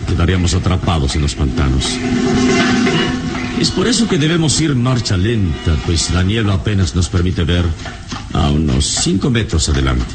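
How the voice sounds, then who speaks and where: A man playing a wise, calm, noble adventurer in an old radio serial, speaking in a measured, courteous, instructive way. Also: sits low, over music. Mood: grave, cautious. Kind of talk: old Latin American radio serial acting.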